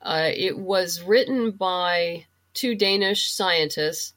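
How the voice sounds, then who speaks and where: A woman's voice: lowish voice, slow pace, a bit bright. A woman being interviewed as a podcast guest, explaining things thoughtfully in a casual, conversational way.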